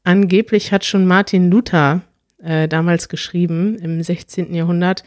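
A woman's voice: on the low side, steady tone, normal speed, darkish tone, no echo, studio quality. A woman co-hosting a podcast in casual chat, talkative and thinking aloud as she explains. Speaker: podcast co-host.

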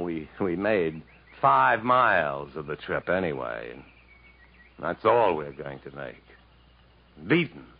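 Bitter sardonic jungle drifter guy, low voice, weary n dramatic, muffled old radio recording. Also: gravelly, slightly rough, heavily acted. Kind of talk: 1950s American radio drama acting and narration.

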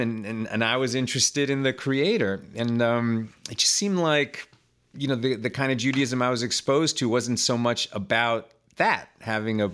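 A man's voice: mid-range voice, fairly bright tone, clear, resonant tone. A man in his fifties from Chile, a podcast guest telling his life story in a loose, conversational way, full of fillers and repeated words. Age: 50s.

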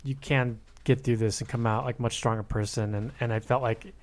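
A man's voice: mid-range voice, steady tone, a little fast. A man co-hosting a podcast, talking casually about film in a tentative, hedging way. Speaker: podcast co-host.

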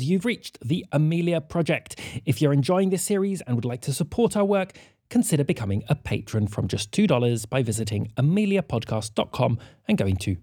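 A man's voice: on the high side, dark tone, husky, dry recording, clean studio sound. A man reading a scripted announcement to listeners as a podcast announcer.

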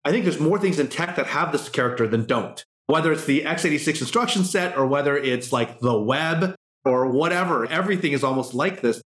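A man's voice: on the high side, brisk, fairly bright tone, echoey room. A man hosting a podcast interview, musing at length in a casual, discursive way with lots of fillers. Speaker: podcast host.

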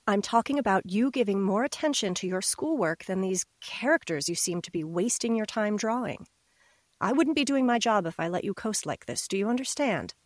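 A woman's voice: medium pitch, animated, fairly quick, no room sound, studio quality, natural voice. A woman playing a stern but caring schoolteacher in an audio drama, admonishing and firm. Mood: stern, disappointed. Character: stern, caring female schoolteacher chiding a student.

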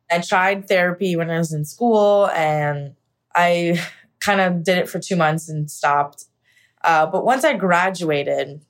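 A young American woman from Pennsylvania telling a personal story candidly and conversationally, with frequent filler words like "like" and "you know". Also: lowish voice. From Pennsylvania, United States. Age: young adult. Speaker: podcast guest, actor.

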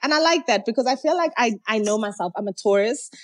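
A woman rapper from Zimbabwe, a guest telling stories in a chatty, animated way in a casual interview. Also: on the high side, fast.

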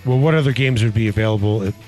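A man hosting a sports radio talk show, blunt and passionate, with a loud voice, in casual banter with his co-hosts. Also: lowish voice, very fast, faint music behind. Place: radio studio.

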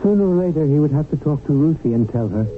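An actor playing an earnest, anxious, proper guardian angel in a radio drama, loyal and fretful. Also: male voice, fairly high pitch, lively intonation, fast, lightly acted. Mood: fretful narrating. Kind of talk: radio drama acting and first-person narration. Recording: tinny radio broadcast with music.

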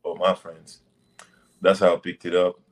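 A young man from Senegal, a basketball prospect, answering interview questions in loose, relaxed phrasing over a video call. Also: low voice, normal speed. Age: young adult. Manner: relaxed, loose phrasing with many "like" and "you know".

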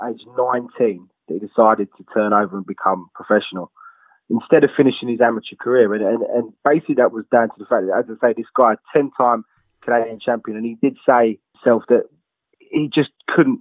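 A man's voice: medium pitch, no room sound. Man, chatty commentary, tinny phone-line sound, dry. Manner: conversational with restarts and asides. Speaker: co-presenter. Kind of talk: casual documentary commentary.

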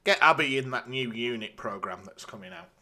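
Bright-voiced man, dryly grumbling. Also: medium pitch, lively intonation, brisk. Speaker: podcast co-host. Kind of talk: casual podcast chat.

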